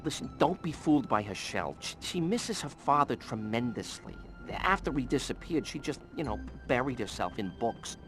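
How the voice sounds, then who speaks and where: An actor voicing a cheeky, self-important animal sidekick in a cartoonish video game cutscene, glib and playful. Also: male voice, mid-range voice, sing-song, moderate pace, hardly any pauses, fairly bright tone. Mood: earnest. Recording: music behind the voice.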